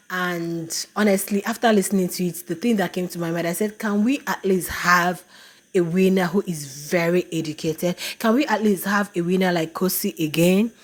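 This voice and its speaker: A woman vlogger casually reacting to a reality show, talking to her viewers and acting out what others said in a raised voice. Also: medium pitch. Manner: animated, quotes others in a raised voice.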